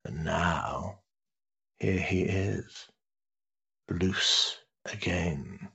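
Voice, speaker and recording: A worldly older duke, played by a male actor, speaks in a low, unhurried voice with a husky edge. His period-drama delivery is pompous, ornate and drily sardonic. The recording is somewhat muffled. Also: pushed and bright, full character voice. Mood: mocking, disdainful. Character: urbane, cynical older English aristocrat, a duke and uncle. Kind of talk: period stage-drama acting.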